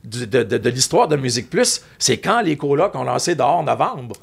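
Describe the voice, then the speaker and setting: A man with a Québécois French accent telling animated, rambling anecdotes in a casual chat. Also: mid-range voice, normal speed, gravelly, slightly rough. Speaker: guest, former music TV host.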